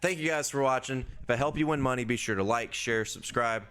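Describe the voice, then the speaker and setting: A hoarse man, a young adult, hosting a casual sports-betting preview and swearing freely in a loose, rambling way. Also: mid-range voice, brisk, a bit bright. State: hoarse, losing his voice. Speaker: sports betting podcast host.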